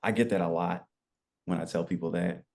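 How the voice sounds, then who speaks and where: Deep gravelly young man, rambling. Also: fairly quick. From United States. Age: 20s. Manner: rambling, relaxed storytelling with fillers. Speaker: podcast guest. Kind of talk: casual interview chat.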